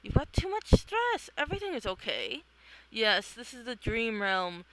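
A performer voicing a calm, playful woman who wanders dreams in a comic read-along. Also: mid-range voice, unhurried, full character voice, rough recording. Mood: soothing.

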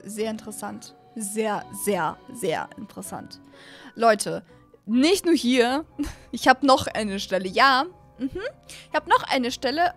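A woman in her twenties giving casual, rambling let's-play commentary in an expressive way, close on a studio microphone. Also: high-pitched. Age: 20s. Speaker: host of a gaming channel. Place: small indoor streaming room at home. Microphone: close studio microphone on an arm.

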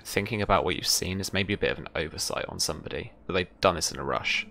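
Very deep, creaky game master. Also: male voice, fast, bright, forceful tone, a little hiss or hum. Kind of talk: running a tabletop roleplaying game. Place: indoor room at home, video call. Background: background music.

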